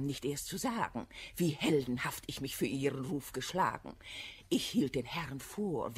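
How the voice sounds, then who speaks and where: A pious society lady in a classical radio comedy in verse. She speaks slowly and huskily, with big theatrical swoops. Every phrase is drawn out into sweet, affected insinuation. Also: on the low side, breathy edge, full character voice. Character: prudish, sanctimonious society lady feigning friendly concern.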